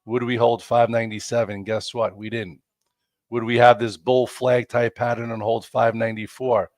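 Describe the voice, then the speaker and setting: A man's voice: medium pitch, little pitch movement, moderate pace, dry recording, clean studio sound. A man, congested and losing his voice, hosting a chatty, rambling live stock market show with lots of fillers. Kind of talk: casual live market commentary.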